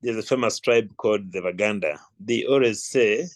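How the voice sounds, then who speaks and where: Male Ugandan meeting host, lower register, courteous and formal, encouraging delivery. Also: moderate pace, dry recording. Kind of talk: addressing a club meeting.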